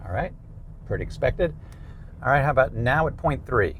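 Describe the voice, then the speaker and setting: A man's voice: mid-range voice, animated, moderate pace, heavy background noise. A man presenting a product range test in a casual, conversational way from inside a car.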